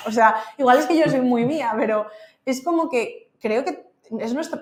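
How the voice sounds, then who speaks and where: A woman in her thirties with a Castilian Spanish accent speaks openly and chattily, filling her talk with casual fillers. Her voice sits in a middling register. The recording has a noticeable roomy echo. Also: medium pitch. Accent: Spain, Castilian Spanish. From Spain. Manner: candid, chatty, many fillers like "o sea" and "en plan". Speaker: podcast guest. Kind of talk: casual interview conversation.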